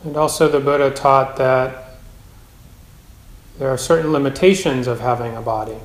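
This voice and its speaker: Man guiding meditation in an echoey room. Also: mid-range voice. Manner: measured, gentle instruction with long pauses. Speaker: meditation teacher. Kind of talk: guided meditation instruction. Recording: roomy, echoing space.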